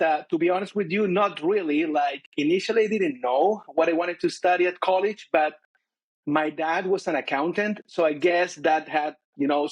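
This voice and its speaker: A man with a Chilean Spanish accent talks through his career as an interview guest, stopping often on fillers as he thinks. His voice sits a little high for a man. The sound is dry and close, with no room echo. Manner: thoughtful, many fillers and restarts. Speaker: guest, finance director. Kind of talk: casual interview chat.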